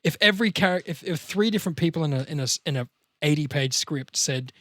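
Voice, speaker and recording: A man's voice: a little high, animated, fast, fairly bright tone, dry recording. A man hosting a casual talk, explaining acting in a direct, coaching way with casual swearing. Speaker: podcast host explaining acting.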